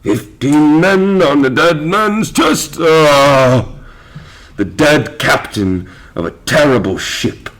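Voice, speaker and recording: An actor playing a haunted old pirate captain in a theatrical drama, gruff, barking demands and brooding in fear. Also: male voice, a little high, animated, slow pace, fairly bright tone. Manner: barks demands, broods fearfully. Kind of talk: theatrical stage pirate acting.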